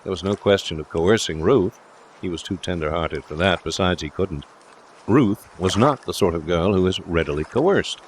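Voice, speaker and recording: A man's voice: sits low, moderate pace, slight background noise. A man reading literary fiction aloud as an audiobook narrator, in a scripted storytelling style.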